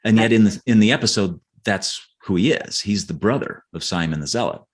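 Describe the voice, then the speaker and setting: A man's voice: on the low side, brisk. A man talking as an author in a conversational podcast interview, earnest and explanatory, often restarting his phrases.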